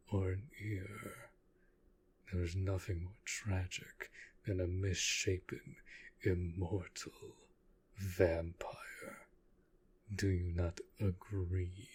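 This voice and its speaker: A man playing a protective, commanding vampire master in an audio roleplay, speaking in a formal, measured, authoritative way. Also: sits low, breathy edge, heavily acted. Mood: quietly menacing. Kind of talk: scripted audio roleplay acting.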